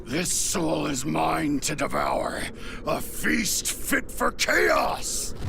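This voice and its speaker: An actor playing an arrogant, rage-consumed dark warrior in a video game, gravelly, defiant and menacing. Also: male voice, sits high, noisy background, exaggerated voice. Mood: menacing, gloating. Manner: defiant, menacing, foul-mouthed, taunting. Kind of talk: dramatic video game cutscene acting. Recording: loud music behind the voice. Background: loud music.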